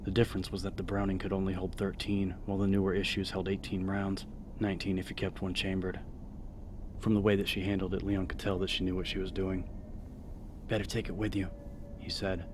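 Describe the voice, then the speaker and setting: A man's voice: deep voice, monotone, fast, background noise. A man narrating horror fiction in a dramatic, scripted audiobook style.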